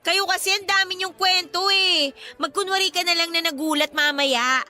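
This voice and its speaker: A woman's voice: very high voice, normal speed, sharp tone, soft background music, full character voice. A woman acting a playful, chatty younger sister with a teasing, bubbly manner in a radio drama.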